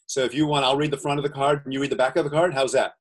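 A man from the USA, a guest entrepreneur, chatting earnestly on a video call and reading aloud slowly. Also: mid-range voice, steady tone. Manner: earnest, reads cards slowly and deliberately. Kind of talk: casual video-call chat.

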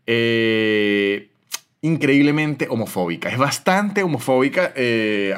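Venezuelan man in his thirties, easygoing podcast host, talks animatedly at a middling pitch. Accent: Venezuelan Spanish. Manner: chatty, animated, swings between loud and soft. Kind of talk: casual solo podcast monologue with ad reads.

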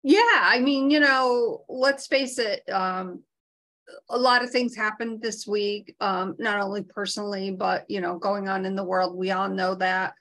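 A woman's voice: mid-range voice, fairly even intonation, unhurried, a bit bright. A woman co-hosting a live talk show over a video call, talking in a frank, down-to-earth, self-deprecating way. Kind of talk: casual motivational chat.

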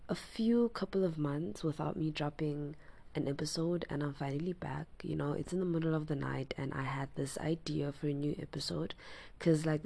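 A woman with a low, gravelly, raspy voice talks quietly late at night, lying in bed at home. She hosts her own podcast and rambles loosely, filling her talk with "you know" and "like," and often drops to a near whisper. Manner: hushed and soft-spoken, often near a whisper. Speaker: podcast host. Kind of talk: casual personal chat.

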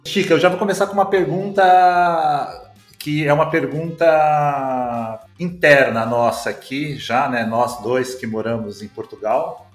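A man's voice: fairly high pitch, measured pace. A man with a Brazilian accent hosting a podcast in casual, conversational Portuguese. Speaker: podcast host. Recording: roomy echo, music behind.

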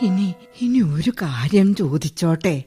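A woman playing a lonely, widowed old mother in a radio play, talking slowly and tearfully with soft sighs. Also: fairly low pitch, animated, faint music behind. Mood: tender. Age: older. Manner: slow, tearful, tender speech with long sighs. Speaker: radio drama actress.

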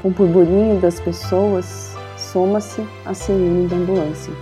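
A woman's voice: on the low side, fairly even intonation, unhurried, music in the background. A woman with a Brazilian accent presenting an audio lesson in scripted educational narration.

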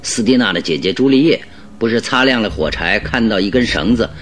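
A shrewd, composed detective lays out his reasoning in a radio drama. His voice is animated and authoritative, with deliberate explanations over faint music. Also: fairly low pitch. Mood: explaining, assured.

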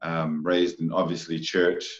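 A low-pitched man with a New Zealand accent talks casually as an interview guest. He speaks haltingly, restarting his sentences and filling gaps with ums. The sound is dull and lo-fi. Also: little pitch movement. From New Zealand, Samoan-born. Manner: halting, many fillers and restarts. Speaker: guest, film actor.

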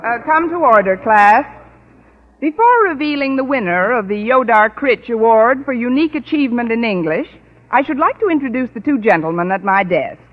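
An actress playing a wry, articulate English teacher with precise diction, in old-time radio comedy style. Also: female voice, fairly high pitch, expressive, normal speed. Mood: brisk, wry. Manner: crisp schoolmarm diction, dry wit. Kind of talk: 1940s-50s radio sitcom acting. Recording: muffled old radio recording.

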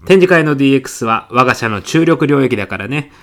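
A man's voice: mid-range voice, lively intonation, fast, close to an everyday voice. A man playing a mild, absent-minded department manager in a comedic audio drama, with an agreeable, slightly pompous managerial manner. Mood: calm, agreeable.

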